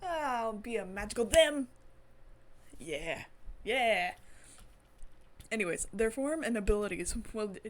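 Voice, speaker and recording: A woman hosting a game stream, reading story text aloud dramatically with giggly, enthusiastic asides. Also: on the high side. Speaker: gaming channel host streaming a visual novel.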